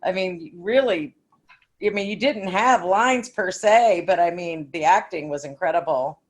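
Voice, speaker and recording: Effusive older woman, lowish voice. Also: measured pace. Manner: effusive, admiring. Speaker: podcast co-host. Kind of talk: casual conversation.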